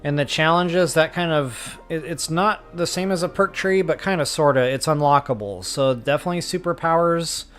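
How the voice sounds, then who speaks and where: Man with folksy, higher-pitched game commentary. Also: normal speed, few pauses, fairly bright tone, clear, resonant tone. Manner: folksy, informal, thinking aloud. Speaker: game walkthrough host. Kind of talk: casual gameplay commentary, explaining as they play. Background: game music behind the voice.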